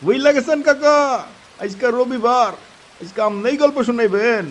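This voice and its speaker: A man's voice: extremely high pitch, normal speed, pitched above the performer's own voice. A man voicing an eager, chummy young villager in an audio story. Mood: welcoming, coaxing.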